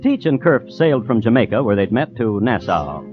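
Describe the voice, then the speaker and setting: A wry, learned crime connoisseur hosting a vintage radio series, played by a man. He narrates in a mid-pitched, highly animated voice with droll, theatrical timing. The recording is muffled, with music behind. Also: moderate pace, natural voice. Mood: droll storytelling. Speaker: host and narrator. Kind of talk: scripted old-time radio narration.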